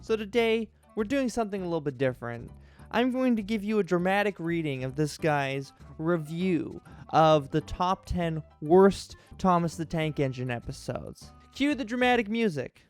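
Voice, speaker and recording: A man's voice: high voice, animated, normal speed, faint music behind. A man hosting an online video, talking casually and chattily to his viewers.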